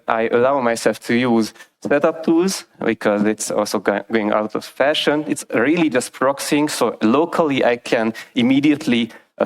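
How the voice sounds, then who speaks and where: Man presenting in choppy, halting phrases. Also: mid-range voice. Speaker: conference lightning talk speaker. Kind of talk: technical conference presentation. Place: conference stage, indoors.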